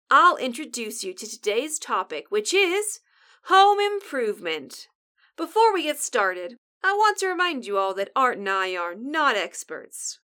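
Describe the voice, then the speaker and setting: A woman's voice: fairly high pitch, pushed and bright, full character voice. A woman playing a bossy, sarcastic apocalypse survivor who co-hosts a radio show with dry exasperation. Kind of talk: scripted comedic audio drama, bantering radio show.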